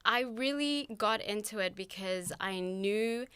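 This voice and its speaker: A woman in her thirties, originally from Argentina, talking about her career as a guest in a warm, easygoing way. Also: medium pitch, unhurried, clean tone. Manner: warm, easygoing, laughs often. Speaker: company co-founder and COO, guest. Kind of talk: interview answers, casual chat.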